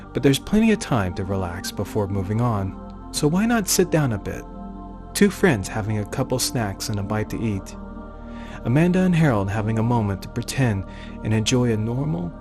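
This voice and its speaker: A man reading a scripted horror story as a dramatic narrator, with theatrical, emphatic phrasing and deliberate pauses. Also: fairly low pitch. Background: music.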